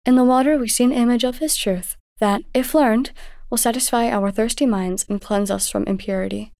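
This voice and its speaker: Storybook mother, played by a woman: gentle and devout, measured teaching manner, raised pitch, dry recording. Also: creaky, no room sound. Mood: devout, calm. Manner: tender, measured, instructive.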